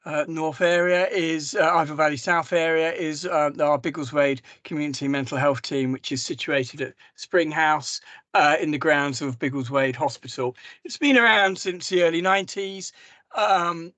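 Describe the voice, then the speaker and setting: A hoarse man, a mental health service manager presenting slides in a webinar over a video call and talking hesitantly with many ums and restarts. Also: a little high, fairly even intonation, moderate pace, a bit bright, no room sound. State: hoarse from a cough.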